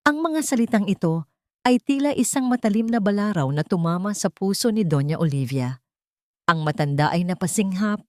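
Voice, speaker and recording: A woman reading a scripted dramatic story as narrator, in a measured, solemn storytelling manner. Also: medium pitch, animated, dry recording, studio quality. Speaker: narrator of love stories.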